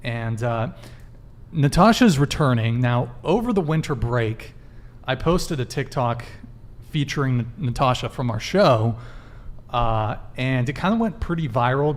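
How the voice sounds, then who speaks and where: A man hosting a live podcast, running through announcements and promos in a brisk patter with fillers. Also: medium pitch. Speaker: podcast host.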